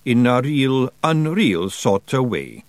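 A man's voice: mid-range voice, very animated, slow pace, no echo, voice pushed up. A man voicing a small, cheeky male pixie who answers nervously and evasively. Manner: shifty, evasive.

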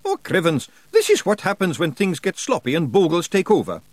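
A tiny, boisterous fairy warrior chief, put on by a male narrator with a broad Scottish accent, pitched high and swooping wildly as he blusters cheerfully through a storybook reading. The voice sits close and dry, with no room around it. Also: wildly swooping pitch, fairly quick, no echo, exaggerated voice. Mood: blustering. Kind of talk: audiobook character voice.

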